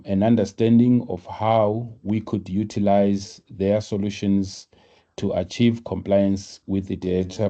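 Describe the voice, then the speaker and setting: Slow, deep-toned man hosting a webinar. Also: mellow. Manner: hesitant, many filler sounds. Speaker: webinar host introducing vendor. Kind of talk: formal webinar introduction. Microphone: online meeting audio. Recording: dull lo-fi online call audio.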